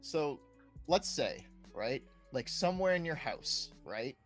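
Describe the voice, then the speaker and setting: A man's voice: on the high side, expressive, slow pace, a bit bright. A young man hosting a casual gaming talk show in an upbeat way, repeating short phrases as he goes. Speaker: podcast host. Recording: music playing behind the voice. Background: background music.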